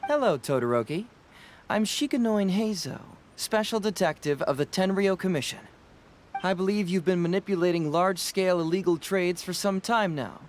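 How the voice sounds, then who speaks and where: An actor playing a young detective in a game, confident, teasing and coolly probing. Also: male voice, high voice, lively intonation, normal speed, slight background noise. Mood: confident, sly.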